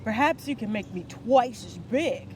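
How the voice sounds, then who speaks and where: A lonely, mild-mannered storybook dragon, voiced by a woman reader. He sounds plaintive and earnest in a highish voice. There is clear noise in the background. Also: expressive, measured pace. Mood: hopeful. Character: lonely, gentle, sad male dragon seeking help.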